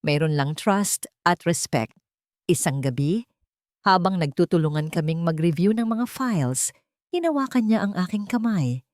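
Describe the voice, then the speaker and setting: A low-voiced woman with a Filipino accent reads scripted first-person drama narration. The recording is dry and close, with no room sound around her. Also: lively intonation, normal speed, darkish tone, no echo.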